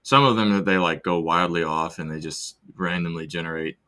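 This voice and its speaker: A man with a very low voice gives a casual software walkthrough. He talks loosely, restarting sentences as he goes. It sounds like an informal screen recording. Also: brisk. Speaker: video host demonstrating software.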